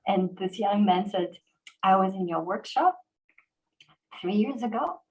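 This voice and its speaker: A woman host in a relaxed conversation, telling stories animatedly with quick fillers and restarts. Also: medium pitch, poor audio. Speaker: organization president and host. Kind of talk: conversational interview.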